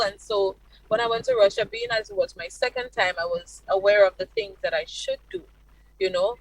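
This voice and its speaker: Grenadian female interview guest, effusive, highly animated intonation, close dry sound. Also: no room sound, rough recording. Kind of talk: enthusiastic interview answers.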